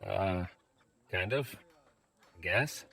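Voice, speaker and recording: A man's voice: low-pitched, lively intonation, extremely slow, vocal fry, pushed and bright, breathy edge, exaggerated voice. A man playing a modest, earnest android adventurer in an audio drama, speaking plainly and a little hesitantly. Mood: subdued.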